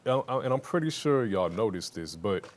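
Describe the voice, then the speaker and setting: A man's voice: on the low side, big pitch swings, normal speed. A man on a podcast panel, sharing a reflective, thoughtful point. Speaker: panel guest. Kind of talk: panel discussion. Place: podcast studio.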